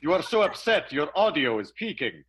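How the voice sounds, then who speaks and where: Radio comedy husband male actor: fussy, courteous, pompous, forceful bright tone with a husky edge. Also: a little high, sing-song, normal speed, exaggerated voice. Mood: soothing. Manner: pompous, precise, over-courteous. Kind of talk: improvised radio play, old-time melodrama.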